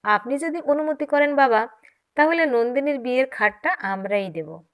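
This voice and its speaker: Gentle, persuasive wife, voiced by a woman, fairly high. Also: lively intonation, normal speed. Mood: respectful, earnest. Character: calm, gentle, generous wife and daughter-in-law.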